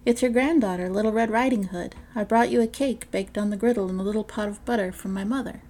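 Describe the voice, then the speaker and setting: A woman's voice: medium pitch, fast talker, mellow, close to an everyday voice. A woman voicing an innocent, chatty little girl from a fairy tale. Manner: naive, wondering.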